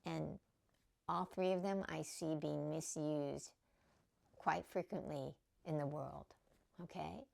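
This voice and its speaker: A woman's voice: sits low, fairly even intonation, takes it slowly, vocal fry, a little mellow. A middle-aged woman hosting a spiritual talk, speaking casually and often checking in with "okay?" and "you know". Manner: conversational, many fillers and "okay?" check-ins. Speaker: spiritual teacher and podcast host. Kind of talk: casual spiritual teaching monologue.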